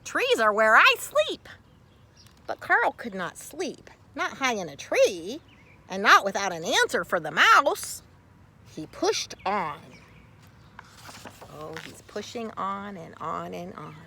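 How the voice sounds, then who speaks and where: A woman reading a children's story aloud expressively, with theatrical, deliberate phrasing and dramatic pauses. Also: mid-range voice, big pitch swings, noisy background. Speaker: storybook reader.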